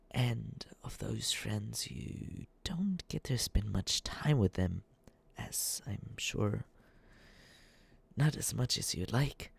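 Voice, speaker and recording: A man playing a nervous, lovestruck young male stalker in an ASMR roleplay, breathy and halting, stammering earnestly as he softly reassures the listener. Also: lowish voice, no room sound, heavily acted. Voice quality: breathy, whispery. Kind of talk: ASMR roleplay, soft scripted monologue to the listener.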